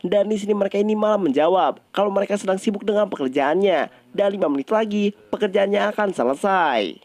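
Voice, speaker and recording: A man's voice: high voice, animated, fast, a little mellow. A man narrates a story recap. His delivery is colloquial and conversational, like casual scripted storytelling for an online video.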